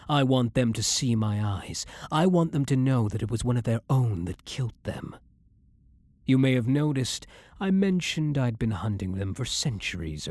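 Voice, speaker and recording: A man's voice: on the low side, darkish tone, husky, heavily acted. A man playing a centuries-old vampire hunter who is himself a vampire, blunt and brooding, in a dramatic ASMR roleplay monologue. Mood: menacing, taunting, then proud, sardonic.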